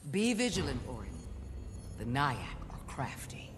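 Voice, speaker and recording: A man voicing a cautious, stern military advisor with measured, formal speech in a fantasy video game. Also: mid-range voice, wildly swooping pitch, noisy background. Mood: stern, wary.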